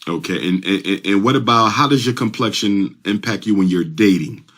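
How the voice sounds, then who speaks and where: A man's voice: lowish voice, moderate pace, a bit bright. A man hosting a call-in show, affirming callers and calling them "brother". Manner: affirming, repeats "yes, sir" and "my brother". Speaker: host.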